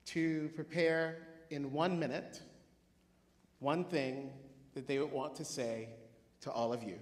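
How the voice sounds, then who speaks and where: A man in his forties moderating a live panel, upbeat and punchy, with quick one-word affirmations. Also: a little high, fairly bright tone, reverberant, in a large hall. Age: 40s.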